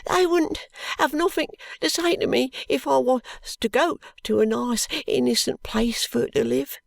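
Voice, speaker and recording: A reader voicing a destitute young street boy who cries out high and pleading. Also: measured pace, creaky, no room sound, studio quality, full character voice.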